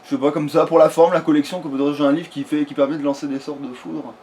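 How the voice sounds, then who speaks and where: A man's voice: on the high side, level tone, quick pace, a little mellow. A man giving casual, improvised video game commentary in a crude, swear-heavy way. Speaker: let's player. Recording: clearly noisy background.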